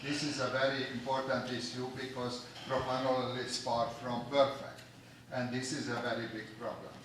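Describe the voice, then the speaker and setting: A man's voice: mid-range voice, little pitch movement, normal speed. Hungarian man, audience questioner, far from the mic in a big reverberant hall with background noise. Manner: long, detailed technical questioning. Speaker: audience member asking a question. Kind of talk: conference Q&A question. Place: large conference hall. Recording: echoey hall, distant mic, noisy.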